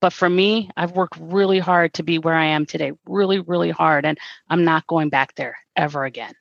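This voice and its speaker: Reflective woman guest, sincere and steady, dull remote sound. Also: sits low, normal speed, vocal fry, no room sound. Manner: earnest, reflective, repeats words when restarting. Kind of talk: conversational interview.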